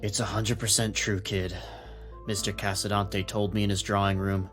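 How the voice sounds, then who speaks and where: A man playing a shrewd, gruff, plain-spoken police captain in a noir audio drama. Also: lowish voice, level tone, moderate pace, fairly bright tone, faint music behind, natural voice. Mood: frank, world-weary. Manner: plain-spoken, streetwise, in command.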